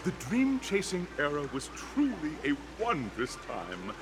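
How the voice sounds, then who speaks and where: A man's voice: fairly high pitch, huge pitch swings, unhurried, background noise, exaggerated voice. A man voicing a flamboyant, effusive festival host and drink tycoon in a game, announcing in a grandiose theatrical style. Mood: nostalgic.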